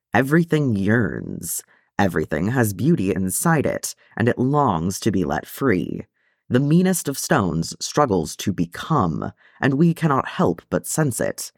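A man voicing a hearty, good-humoured, proud dwarf in a storybook reading, with measured, old-fashioned phrasing. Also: on the low side, no room sound, clean studio sound, full character voice.

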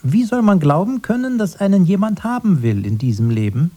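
Radio play part: plaintive doubter, polite yet pointed, dark-toned husky voice, highly animated. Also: male voice, brisk. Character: plaintive, doubting man politely addressing an interviewer. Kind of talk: radio drama acting.

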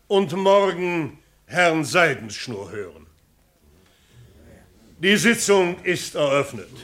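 An actor in a 1970s German radio play, playing a formal, ponderous chairman with a measured way of addressing a meeting. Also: fairly high pitch, a bit bright.

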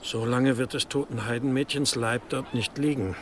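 An actor in a German radio drama playing an authoritative, evasive abbot with a formal, unctuous and measured manner. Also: male voice, mid-range voice, fairly even intonation, a little hiss or hum, natural voice. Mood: controlled authority.

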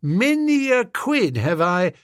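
A man voicing a flustered, bumbling young gentleman who stammers and dithers, in a storybook reading. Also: high voice, very animated, slow pace, no room sound, clean studio sound, pitched above the performer's own voice, full character voice. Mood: chatty.

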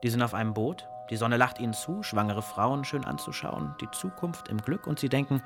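A disillusioned searcher in a radio play. His medium-pitched voice is bitter and brooding, phrased in short rhetorical bursts. Faint music lies underneath. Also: animated, brisk, creaky. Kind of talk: German radio play acting.

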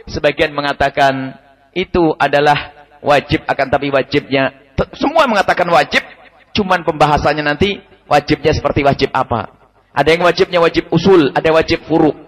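A man giving a lively, emphatic religious study lecture, repeating his key points and chuckling now and then. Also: a little high. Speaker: preacher. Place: study gathering hall. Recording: radio broadcast with long hall reverb.

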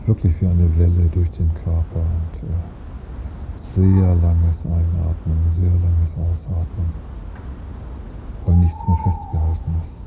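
A man in a documentary interview, speaking in a slow, searching and hesitant way. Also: low voice, monotone. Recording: lo-fi field recording with traffic noise.

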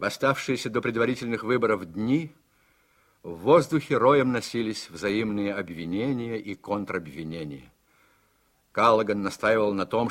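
A man narrating a radio play in measured, articulate scripted storytelling. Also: on the low side, fairly bright tone.

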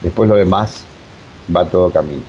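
A man with a Rioplatense Argentine accent talks casually as an interviewed director, rambling emphatically and searching for words. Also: normal speed. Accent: Rioplatense Argentine Spanish. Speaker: radio drama director being interviewed. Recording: muffled, low-fi with faint noise.

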